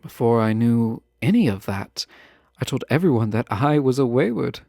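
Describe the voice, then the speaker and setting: A man playing a refined, guarded yet fond fantasy ruler in a romantic audio roleplay, speaking in formal, measured phrases with teasing asides. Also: medium pitch, animated, vocal fry, mellow, no echo, studio quality. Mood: earnest, guarded.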